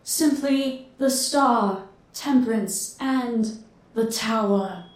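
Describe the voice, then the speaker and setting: A mystical tarot reader in an audio drama, her unhurried, slightly high voice drawing out each word. She sounds set back from the mic, in a roomy space. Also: recorded from a distance. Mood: knowing. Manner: slow, deliberate, mystical. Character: mysterious female tarot reader, knowing and languid.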